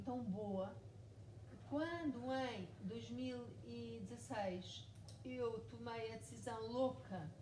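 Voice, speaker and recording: A woman in her forties from Mozambique, a writer speaking at a live book event, telling her story emphatically with lots of repetition. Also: on the high side. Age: 40s. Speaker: author guest. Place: bookshop event room. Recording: echoey room, far mic, noisy.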